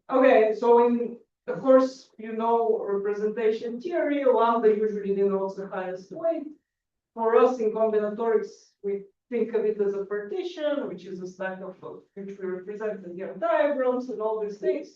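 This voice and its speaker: A woman from Bulgaria giving a math conference lecture, halting, with many restarts and fillers. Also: a little high, warm tone, slight background noise. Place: lecture hall. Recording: echoey hall, distant lo-fi mic.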